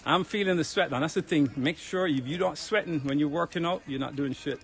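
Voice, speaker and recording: Brief: Jamaican man, slightly high voice, relaxed chatty fitness livestreamer, outdoors on a phone, a little winded. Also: brisk, slight background noise. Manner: chatty, relaxed, talks to viewers while walking uphill. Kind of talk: casual livestream chat while walking. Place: outdoors on a hill road. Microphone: phone held while walking.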